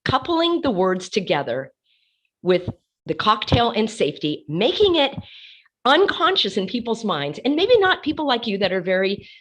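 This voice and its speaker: A woman hosts her own commentary show with a voice gone hoarse from laryngitis. She sits somewhat high and moves through a very lively range. She talks with passion and drives her points home by repeating words. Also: normal speed. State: losing her voice. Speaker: host of an online commentary show. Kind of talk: casual commentary while sharing her screen.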